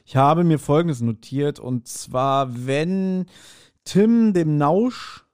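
A man's voice: fairly high pitch, slow, darkish tone. A man chatting casually as a podcast co-host reviewing an audio drama, colloquial in his wording.

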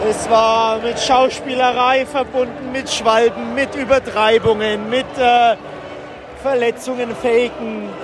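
A man reporting live and casually from a football match as a podcast host, speaking in an excited, raised voice. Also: extremely high pitch. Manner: excited, raised voice over stadium noise. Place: outdoors in a football stadium stand. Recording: loud stadium crowd noise, outdoor field recording. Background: loud cheering football crowd.

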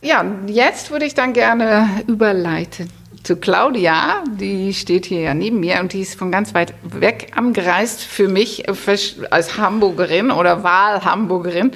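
A woman hosts her interview podcast in a new studio with a little reverb. She talks in a chatty, enthusiastic way, with a gravelly edge and a middle pitch. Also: unhurried, fairly bright tone. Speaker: podcast host. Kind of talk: casual interview hosting.

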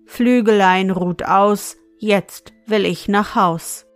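A woman's voice: mid-range voice, takes it slowly, dry recording, faint music behind, natural voice. A woman voicing a kindly, reassuring male wizard who speaks solemnly and instructively in a fairy-tale reading. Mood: instructing. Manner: solemn, instructing, chanting rhymed spells.

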